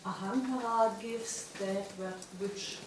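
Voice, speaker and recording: Female student, slow and hesitant; distant, echoey, noisy. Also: medium pitch. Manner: hesitant, searching for words.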